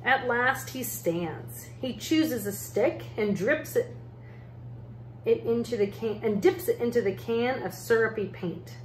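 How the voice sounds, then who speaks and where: Slow-reading woman in an echoey room. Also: fairly high pitch. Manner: measured, deliberate phrasing with pauses. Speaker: art educator reading a picture book aloud. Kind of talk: storybook read-aloud narration. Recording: roomy echo, faint background noise.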